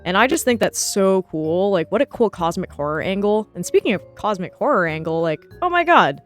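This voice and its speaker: A woman's voice: mid-range voice, very animated, a little fast. A young American woman chatting casually and enthusiastically as she gives commentary on art. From New Jersey, United States. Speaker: artist and video host. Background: background music.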